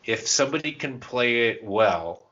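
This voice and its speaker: A man's voice: medium pitch, lively intonation, unhurried, fairly bright tone, muffled recording. A man co-hosting a podcast in casual chat, joking and self-deprecating. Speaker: podcast co-host.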